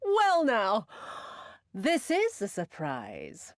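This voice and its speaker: Haughty, cruel villainess, swooping intonation. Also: female voice, medium pitch, wildly swooping pitch, no echo, clean studio sound, exaggerated voice. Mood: mocking. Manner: haughty, sneering, drawn-out taunts. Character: cruel, scornful female imperial villain, haughty and mocking.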